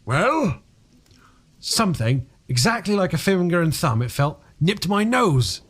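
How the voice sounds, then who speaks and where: A man voicing a frightened, insistent man recounting an uncanny encounter in an emphatic, halting way, as in a dramatised audiobook. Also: high voice, sing-song, husky, voice pushed up, heavily acted. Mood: nervous.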